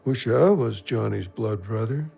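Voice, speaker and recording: A man's voice: fairly low pitch, animated, measured pace, gravelly, mellow, breathy edge, soft background music, heavily acted. An actor playing a grief-stricken, drunken friend brooding on his guilt in an audio drama. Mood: wistful.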